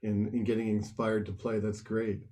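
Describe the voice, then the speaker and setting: A man hosting an online panel, asking questions with encouraging, halting restarts. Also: on the low side, monotone, warm tone. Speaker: host.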